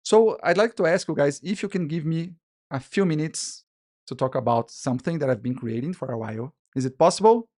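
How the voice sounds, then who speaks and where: A man's voice: on the high side, brisk. Brazilian-accented man teaching a live class. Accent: Brazilian Portuguese. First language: Portuguese. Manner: animated, frequent restarts, checks in with "yeah?" and "guys". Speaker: illustration business teacher. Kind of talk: live online masterclass with sales pitch.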